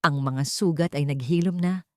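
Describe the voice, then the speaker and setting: A woman narrates a dramatic romance story in the first person. Her voice sits low, and the recording is very dry, with no room sound. The delivery is scripted storytelling. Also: moderate pace, mellow, no echo.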